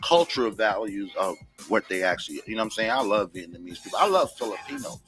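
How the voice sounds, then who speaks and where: A man's voice: lowish voice, lively intonation, quick pace, a bit bright. Loose, profane male radio co-host. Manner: loose, profane, rambling with restarts. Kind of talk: casual chat. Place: radio studio. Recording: radio broadcast with music behind.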